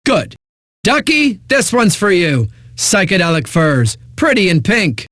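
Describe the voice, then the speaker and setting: Cocky male game radio DJ, high-pitched, animated, flippant, punchy eighties music patter. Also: dry recording, studio quality, exaggerated voice. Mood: hyped. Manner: smug, punchy announcer delivery with dramatic pauses. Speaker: radio DJ, host.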